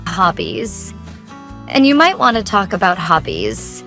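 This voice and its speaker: A woman co-hosting a scripted English lesson, giving clear, instructive tips. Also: mid-range voice, huge pitch swings, measured pace. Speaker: co-host. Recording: soft guitar music behind.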